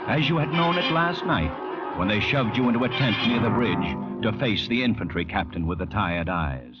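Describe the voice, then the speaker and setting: A man acting as a grave, intense storyteller, narrating an old-time radio drama in a deliberate, dramatic way. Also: on the low side, animated, natural voice. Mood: urgent, dread. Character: grave, ominous male storyteller addressing the listener as you. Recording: dull vintage radio recording. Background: loud dramatic music.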